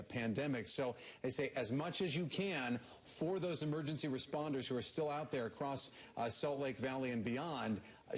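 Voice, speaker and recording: A male TV news anchor speaks very quickly at a middle pitch. He delivers breaking news live from the studio, partly scripted and partly off the cuff.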